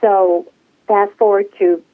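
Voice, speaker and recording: Sixties woman, bubbly guest, mid-pitch, thin phone-line sound. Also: fairly even intonation, takes it slowly. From United States. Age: 60s. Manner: bubbly, laughs often. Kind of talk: casual interview. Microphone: phone call.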